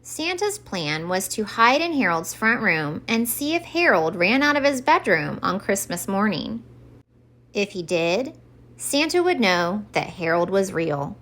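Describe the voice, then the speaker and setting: Animated woman reading a children's story. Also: mid-range voice, measured pace. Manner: expressive. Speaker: story time reader.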